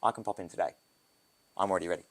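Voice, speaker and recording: British man, very low voice, casual rambling podcast guest, photographer. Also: steady tone, racing. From Britain. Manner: loose, rambling, with restarts and asides. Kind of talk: casual interview talk.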